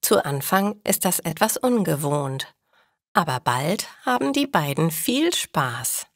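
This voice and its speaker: Woman, story narrator, very slow, lively pitch, dry close sound. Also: no room sound. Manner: slow, clear reading. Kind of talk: scripted narration for learners.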